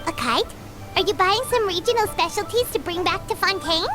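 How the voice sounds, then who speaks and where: A woman's voice: very high-pitched, lively intonation, fairly quick, music in the background. A woman voicing a small, cheeky, childlike fairy companion in an anime game, bubbly and inquisitive. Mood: curious. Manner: speaks of self in third person, inquisitive.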